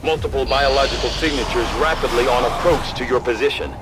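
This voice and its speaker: An actor playing a measured, erudite male scientist who briefs troops in a video game in formal, precise language. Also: mid-range voice, natural voice. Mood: concerned, analytical. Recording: very noisy battle sound over voice. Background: loud ambience, gunfire.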